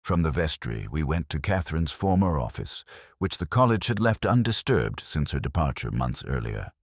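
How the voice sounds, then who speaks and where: A man narrating a scripted first-person detective story in a measured, solemn, literary manner. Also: extremely low pitch, sing-song, no room sound, clean studio sound.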